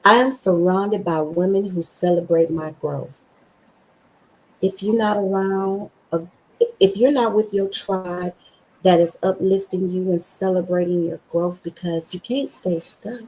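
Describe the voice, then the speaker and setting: A woman hosting a podcast, with a scratchy voice, talking with excited emphasis and slowly repeating affirmations. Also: on the low side. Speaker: podcast host.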